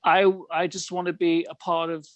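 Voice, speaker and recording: An older man with a fairly high voice chats casually as an interview guest. The sound is close and dry. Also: little pitch movement, moderate pace, no room sound. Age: older, retired. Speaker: cast member guest.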